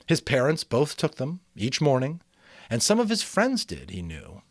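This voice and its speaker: Male amateur narrator, expressive and animated, dramatic book reading, dry recording. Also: medium pitch, moderate pace, no echo. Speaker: amateur voice actor reading an audiobook, commenting. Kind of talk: dramatic audiobook reading with casual commentary.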